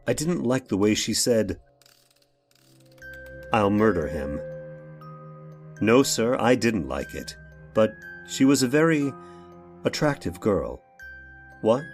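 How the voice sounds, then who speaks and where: A man playing a fussy, evasive, hesitant workshop owner recounting a crime in an old-time radio horror drama. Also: fairly low pitch. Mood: prim, uneasy.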